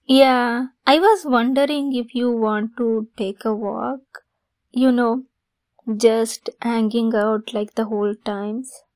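Actress for a young female best friend in an ASMR romance: lightly high voice, very slow, softly teasing and affectionate. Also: fairly even intonation, dry recording. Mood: shy, affectionate. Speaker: audio roleplay voice actress. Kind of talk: ASMR roleplay acting.

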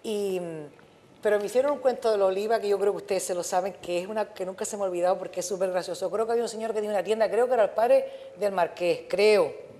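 A woman's voice: mid-range voice, quick pace, dark tone. A woman in her fifties with a Canarian Spanish accent, telling casual anecdotes and acting out the quotes. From Fuerteventura, Canary Islands. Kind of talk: casual storytelling. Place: tavern with seated audience.

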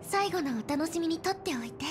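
Calm young explorer girl Lynx, high-pitched. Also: fairly quick, background noise. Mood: calm, explanatory. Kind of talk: anime game voice acting.

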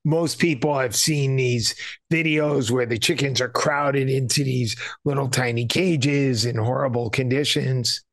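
Gravelly-voiced podcast host on a dry video call. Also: male voice, mid-range voice, steady tone, measured pace, few pauses, dry recording. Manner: brief affirmations and questions. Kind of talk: casual interview over video call. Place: small room indoors.

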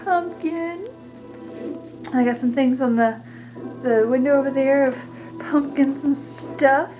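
A woman's voice: high voice, slow pace, music in the background. A young woman giving a casual, rambling tour of her house while filming on a handheld phone, with distracted asides as she walks from room to room. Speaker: vlogger. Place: house, indoors, walking room to room. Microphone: handheld phone camera at arm's length. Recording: muffled phone audio with handling noise.

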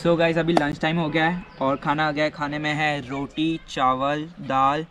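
A man's voice: fairly high pitch, flat delivery, normal speed, fairly bright tone, faint music behind. A young man chatting enthusiastically to viewers as he tours a hillside campsite, filming himself at arm's length outdoors. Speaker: travel vlogger. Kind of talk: casual travel vlog narration. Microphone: handheld camera at arm's length.

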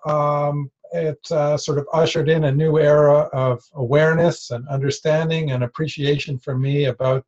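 A middle-aged man introduces himself on a video call, halting and restarting as he goes. His mid-range voice comes through muffled, lo-fi call audio. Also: mid-range voice, a little mellow. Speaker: course participant.

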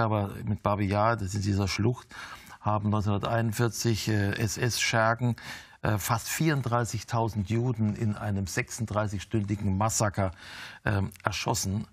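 Older man, low voice, measured host, explanatory, um-heavy, TV studio, lapel mic. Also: dry recording. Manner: measured, explanatory, with many ums. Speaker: TV interview host. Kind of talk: studio interview.

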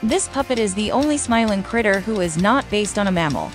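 A woman's voice: medium pitch, animated, fairly quick, soft background music. A woman hosting a quiz in scripted narration. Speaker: quiz host.

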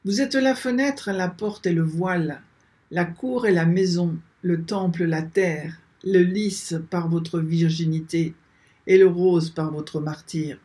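An older man leading a slow prayer, reciting repeated invocations in a steady, measured chant, filmed by a webcam in a small room. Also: high-pitched. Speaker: prayer leader.

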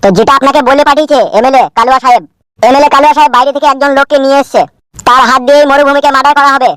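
Casting: man as teasing, bossy politician's goon for comic cartoon, strikingly high pitch, dry close sound. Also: little pitch movement, very fast, a bit bright, no echo. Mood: smug, bossy. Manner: mocking, bossy. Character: smug, teasing male henchman of a corrupt politician.

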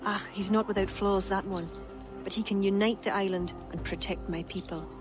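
An actress with an Irish accent playing a devout, steadfast young pagan court poet in a dramatic game cutscene. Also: female voice, medium pitch, fairly even intonation, normal speed, lightly acted. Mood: calm, resolute. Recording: loud background music under dialogue.

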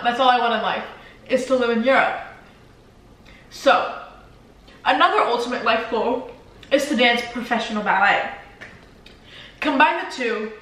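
A woman's voice: on the high side, fairly bright tone. A teenage girl with Spanish as her first language, chatting casually on a couch and rambling with lots of "like" fillers. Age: teens. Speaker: vlogger. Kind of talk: casual Q&A chat while eating. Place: living room, seated on a leather couch. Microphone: camera across the room. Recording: very echoey room, voice from a distance.

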